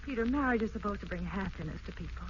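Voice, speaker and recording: An actress playing an unhappy, earnest young housewife who pleads and hesitates, in 1940s radio drama style. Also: female voice, medium pitch, expressive, very fast, natural voice. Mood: unhappy, pleading. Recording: muffled, hissy old radio broadcast.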